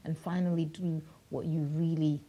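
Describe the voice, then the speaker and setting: Low-voiced woman TV interviewer. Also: level tone, moderate pace, dark tone, poor audio. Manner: probing, chatty, finishes the guest's sentences. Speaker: TV host. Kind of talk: TV studio interview. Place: TV studio.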